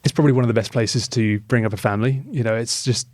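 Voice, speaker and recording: A man's voice: mid-range voice, steady tone, fast, a little mellow, dry recording, clean studio sound. A middle-aged man hosting an interview podcast, talking in a friendly, loose way with many fillers. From England. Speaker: podcast host, mortgage broker.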